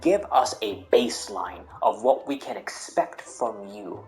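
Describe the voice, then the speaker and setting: A man's voice: lowish voice, animated, measured pace, a little mellow. A man doing casual game commentary, slangy and teasing, repeating phrases for emphasis as he reacts. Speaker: gaming streamer. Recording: commentary mixed with game music.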